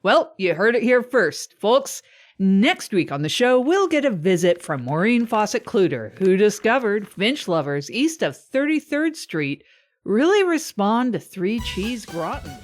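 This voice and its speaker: A woman's voice: mid-range voice, big pitch swings, measured pace. A woman playing a breezy small-town podcast interviewer, talking in a presenterly way in a scripted mock interview. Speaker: podcast host.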